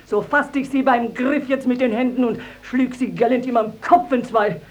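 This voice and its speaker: A woman playing a middle-aged, sharp-tongued village widow, scolding and declaiming in a 1950s German radio play. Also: a little high, fairly even intonation, moderate pace, natural voice. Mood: scornful.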